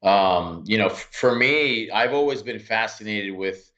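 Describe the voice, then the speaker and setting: A man's voice: fairly low pitch, fairly bright tone. A man in his forties from Miami, telling his story earnestly in an interview, often restarting his sentences. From Miami, USA. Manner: earnest, halting, many restarts. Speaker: podcast guest. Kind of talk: reflective interview talk. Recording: dull lo-fi sound.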